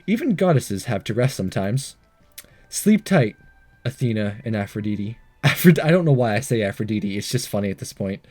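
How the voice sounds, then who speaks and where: A man's voice: fairly low pitch, big pitch swings, normal speed, darkish tone, soft background music. A man hosting a game playthrough, reading visual novel text aloud with jokey asides and the odd stumble.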